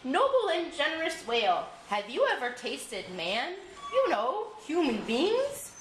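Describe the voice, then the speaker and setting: A woman's voice: high-pitched, wildly swooping pitch, a little slow, pitched above the performer's own voice, exaggerated voice. A woman voicing a small, clever fish in a polite, coaxing, advising way for a puppet show story. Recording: roomy, noisy school room recording.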